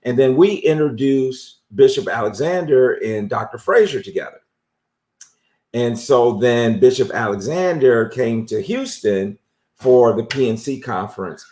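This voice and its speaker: A man hosting a live interview show, talking in a relaxed, friendly way with lots of fillers. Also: medium pitch, roomy sound. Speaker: host. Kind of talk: casual interview on a live stream.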